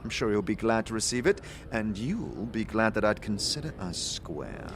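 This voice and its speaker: A man's voice: lowish voice, sing-song, normal speed, fairly bright tone, slight background noise. A man voicing a sly, amused barkeep in an audio drama, grandiose and philosophical. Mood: brisk, businesslike. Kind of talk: audio drama character acting.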